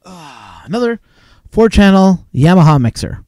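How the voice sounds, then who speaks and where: A man's voice: high-pitched, sing-song, normal speed, warm tone, clean tone, no room sound, studio quality. A man from Canada, hosting a late-night live stream from his home desk, chatting casually and rambling with chuckles. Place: home desk crowded with gear. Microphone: desk mic with a mixer.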